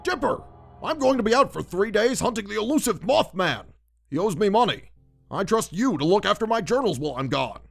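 A man voicing a gruff, brusque older scholar-adventurer in a cartoon comic dub, curt and dry. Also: sits high, big pitch swings, fairly quick, a bit bright, soft background music, full character voice. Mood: urgent, bossy. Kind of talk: comic dub character acting.

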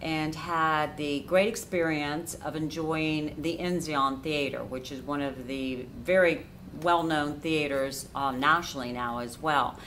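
A woman's voice: deep voice, fairly even intonation, unhurried, few pauses, a bit bright, slight background noise. A middle-aged American woman from Florida giving conversational answers as an interview guest. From Florida, United States. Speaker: interview guest, cinema cafe owner. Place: inside a dim cafe theater.

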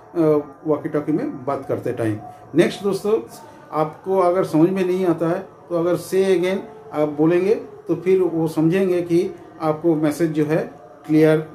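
Male instructor, middle-aged, fairly high voice with a soft-edged tone, talking viewers through points repetitively. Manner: repetitive, explanatory, with frequent filler phrases. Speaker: presenter of security training videos. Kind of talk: informal instructional talk to camera. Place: small indoor room with curtains. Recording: echoey room with faint background noise.

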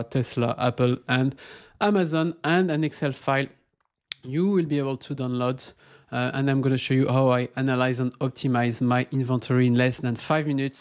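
A man's voice: medium pitch, darkish tone. A man teaching supply chain basics in a loose, conversational way, often restarting phrases and checking in with "okay?". He speaks into a close microphone in a small indoor room. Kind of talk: casual explainer lesson. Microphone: close microphone in front.

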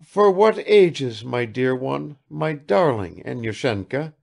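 A man's voice: a little high, animated, measured pace, gravelly, a little mellow, pitched above the performer's own voice, full character voice. A man reading aloud and voicing a tearful, doting little old woman who speaks in a quavering, faltering way.

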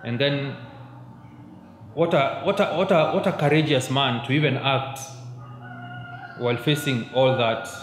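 A man's voice: medium pitch, unhurried, long pauses. Young kenyan guy preaching into a handheld mic, chatty, keeps asking "yeah?", roomy church sound with a faint hum. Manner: mixes in Swahili, keeps checking in with "yeah?". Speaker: youth preacher. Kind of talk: conversational sermon. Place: church hall, at a pulpit. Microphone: handheld mic held close. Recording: echoey church room, faint background hum.

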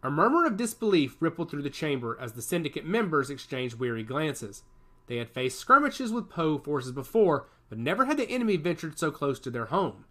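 Guy narrating a sci-fi story, bright tone, pitch goes all over.

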